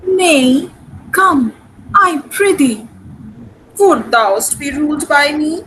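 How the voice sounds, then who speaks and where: A woman's voice: sits high, animated, slow pace, a bit bright, slight background noise, heavily acted, low-quality recording. A woman playing an ardent, commanding young countess in a dramatic reading of Shakespearean comedy. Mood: pleading, hopeful.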